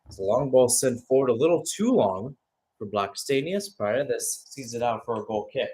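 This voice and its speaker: A man gives live radio play-by-play of a football match. His mid-pitched voice follows the action, lifting when a chance comes and easing back into steadier description between plays. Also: animated, normal speed, mellow, slight background noise.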